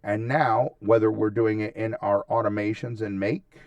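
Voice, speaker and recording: Low, creaky-voiced man, casual tutorial host. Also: fairly even intonation, moderate pace, darkish tone, no echo, recorded from a distance, muffled recording. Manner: informal, checks in often with a tag question. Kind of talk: casual screen-share tutorial, narrating steps as he goes.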